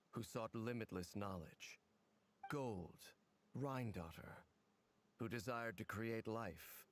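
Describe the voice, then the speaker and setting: An actor playing a mysterious, world-weary masked man in a video game, speaking in a solemn, measured, cryptic way. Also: lowish voice, slight background noise. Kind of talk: dramatic video game dialogue.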